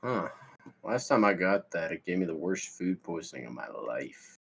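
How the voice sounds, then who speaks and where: A man's voice: low-pitched, very animated, normal speed, gravelly, fairly bright tone, breathy edge, full character voice. A man playing an eager, scheming young stoner slacker in a comedy table read, with casual, slangy delivery.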